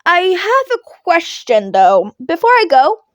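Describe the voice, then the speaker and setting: A woman's voice: very high-pitched, wildly swooping pitch, slow, no echo, studio quality, voice pushed up, heavily acted. A woman voicing a cheeky, playful teenage boy in a fan fiction reading, with an insistent, teasing manner. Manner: bright, teasing, insistent, emphatic. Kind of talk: podfic character voice.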